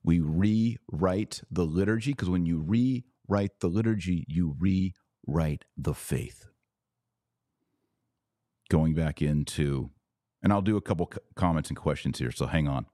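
A man's voice: sits low, unhurried, warm tone, no room sound, clean studio sound. A man hosting a podcast, lecturing with emphasis and repeating his points for stress. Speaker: podcast host. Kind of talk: podcast commentary on church liturgy.

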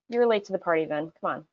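A woman hosting a casual game segment in a chatty podcast style, playful and quick to laugh as she talks. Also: on the low side, dull audio. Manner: playful, laughs often while talking. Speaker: podcast host.

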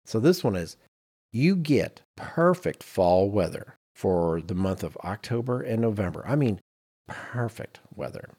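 Animated man, slightly husky, swinging pitch. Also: a little slow. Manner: animated anecdote telling with mimicked quotes. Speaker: podcast co-host. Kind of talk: casual banter.

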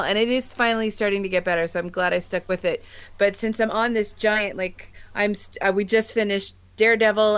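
Clear-voiced American woman chatting enthusiastically. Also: medium pitch, fairly bright tone. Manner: enthusiastic, gushing. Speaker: podcast co-host. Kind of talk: casual chat about sci-fi and comics.

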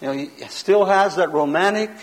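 Guy with a loud, high preaching voice, emphatic, lecturing, big echoey hall. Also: normal speed. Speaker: pastor teaching a seminar. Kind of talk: sermon-style teaching lecture. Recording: long hall reverb.

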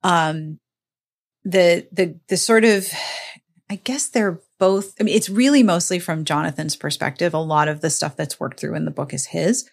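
A woman podcast host with a voice a bit lower than most chats casually about books. She is playful and self-deprecating, joking as she goes in an easy conversational style. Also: normal speed, vocal fry. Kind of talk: casual, joking book chat.

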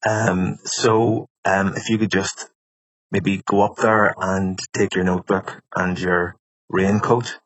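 An Irish man on a casual phone call, speaking hesitantly, with many ums and pauses. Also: low-pitched, level tone, a bit bright. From Ireland. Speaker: podcast host. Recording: dull lo-fi phone sound.

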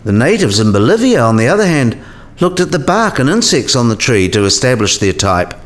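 Man acting a measured, learned professor. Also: medium pitch, big pitch swings, lightly acted. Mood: measured, instructive. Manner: measured, didactic, precise. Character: learned male professor patiently explaining science history. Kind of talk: scripted explanatory dialogue in a radio play.

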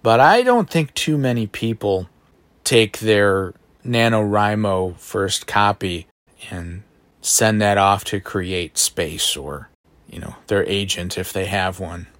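A man with a baritone voice hosting a solo podcast, thinking aloud in a reflective, self-deprecating way, recorded on a phone in a bedroom at home. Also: slow, a bit bright, no echo. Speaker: podcast host. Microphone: phone microphone.

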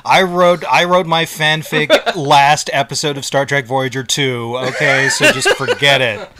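A man chatting casually on a podcast, telling stories in a halting, rambling way with many restarts. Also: mid-range voice, steady tone, bright, forceful tone, slight background noise. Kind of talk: casual chat.

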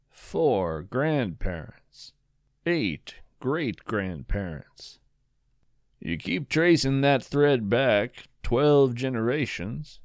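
A man's voice: medium pitch, darkish tone, dry recording, clean studio sound. A man hosting a storytelling podcast with scripted, poetic narration, musing and savoring his words.

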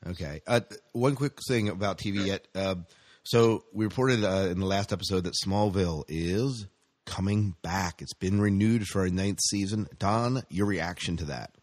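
A man hosting a podcast in casual chat, with hesitant, measured phrasing. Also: low voice, a little mellow, dry recording. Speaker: podcast host. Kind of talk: casual chat about TV shows.

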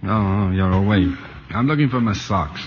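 A man's voice: deep voice, lively intonation, moderate pace. An actor playing a grumpy, sarcastic married father and advertising man in a 1940s radio comedy. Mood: grumbling. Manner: dry, sarcastic, wordy complaining. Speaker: lead actor in a radio play. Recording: dull, noisy 1940s radio broadcast, reverberant stage.

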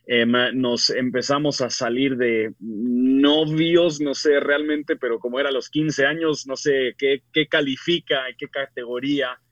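A man from the United States with a Mexican Spanish accent, telling his story as an interview guest in a relaxed way with frequent restarts. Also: medium pitch, unhurried, hardly any pauses, no echo, lo-fi sound. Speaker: pastor and author guest. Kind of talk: casual testimony interview.

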